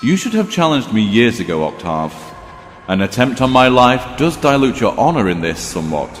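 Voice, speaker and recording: An actor playing a proud, composed vampire king with a measured, sardonic, regal manner in an audio drama. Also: medium pitch, big pitch swings, heavy background noise, lightly acted. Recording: long reverb, music underneath.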